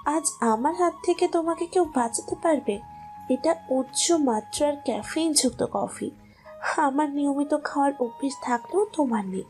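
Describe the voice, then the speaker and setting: Woman acting a high-voiced, seductive lady boss. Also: normal speed, a little mellow, soft background music, close to an everyday voice. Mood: seductive, insistent. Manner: coaxing, commanding. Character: seductive, domineering female boss pursuing her employee. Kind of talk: romantic audio story acting.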